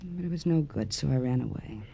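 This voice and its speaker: A woman's voice: extremely low pitch, expressive, brisk, vocal fry, dark tone, breathy edge, full character voice, low-quality recording. A woman playing a hard-edged, despairing young woman in a 1950s radio crime drama, dramatic and world-weary. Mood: bitter, despairing.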